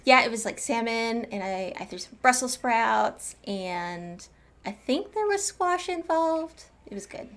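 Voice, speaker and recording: Chatty, high-voiced woman streaming games. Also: lively intonation, unhurried, a bit bright. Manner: chatty, informal, playful. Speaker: game streamer. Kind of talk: casual live-stream chat. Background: game music.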